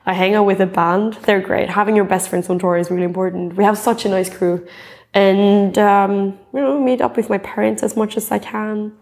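A woman's voice: medium pitch, fairly even intonation, moderate pace, darkish tone. Woman, 20s, from Norway; musician in a sit-down portrait interview, earnest and rambling, clip-on mic. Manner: earnest and chatty, with frequent fillers and restarts. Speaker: pop singer-songwriter. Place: dim indoor room, seated in an armchair.

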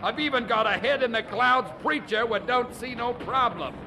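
An actor playing a gruff, grumbling ship captain with rough working-class speech in a radio drama. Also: male voice, extremely high pitch, normal speed, noisy background. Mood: surly.

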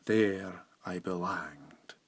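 A man with a Scottish accent reciting poetry in Scots dialect, measured and with dramatic pauses. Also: sits low, husky, dry recording. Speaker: poetry reader.

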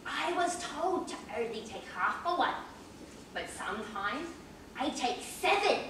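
A woman's voice: high voice, sing-song, a little slow. A woman playing a chatty, dotty old grandmother with theatrical, rambling delivery in an amateur stage play. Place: large theatre stage, indoors. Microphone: far camera. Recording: echoey theatre from far off, noisy.

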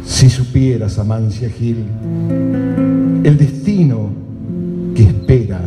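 A man playing a solemn storyteller, narrating live radio theatre with dramatic pauses. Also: mid-range voice, natural voice. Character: solemn storyteller of a gaucho legend. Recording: echoey hall with loud music.

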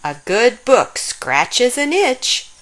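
A woman reading a children's picture book aloud in an expressive, deliberate way, acting out the dialogue. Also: on the high side. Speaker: storybook reader.

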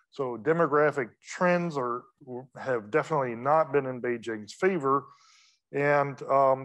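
A middle-aged man giving an academic lecture from a podium microphone in a large wood-paneled hall, talking in a relaxed, conversational way with many fillers and quick asides. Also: mid-range voice. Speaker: guest lecturer.

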